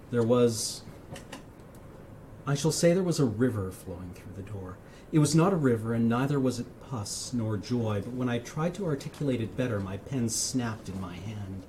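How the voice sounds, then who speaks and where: A man reading in the voice of a solemn, fearful occult dreamer, in a measured, formal, literary manner with hushed phrase endings. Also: medium pitch, a little hiss or hum, lightly acted, low-quality recording.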